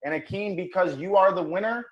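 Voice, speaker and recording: A man's voice: sits high, fairly quick, lo-fi sound. A man hosting a live online dating show over video call, with hyped, bro-ish banter.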